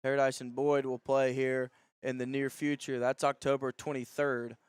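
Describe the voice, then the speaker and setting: A man calling live high school football as a radio play-by-play announcer. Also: little pitch movement, normal speed, clean tone, dry recording, studio quality.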